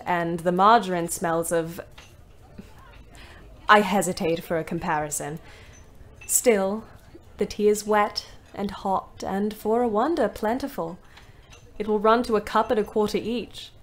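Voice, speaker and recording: A woman's voice: medium pitch, a little slow, natural voice. Wry young woman ambulance driver, emphatic as an actress plays her. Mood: wry, resigned. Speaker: actor narrating an audio play. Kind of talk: dramatised diary narration.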